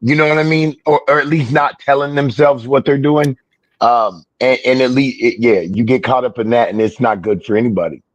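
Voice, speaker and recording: A man's voice: mid-range voice, brisk, no room sound, rough recording. A man guest-hosting a podcast, talking earnestly in casual chat while losing his voice. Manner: earnest, repeats "you know what I mean". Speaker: guest host.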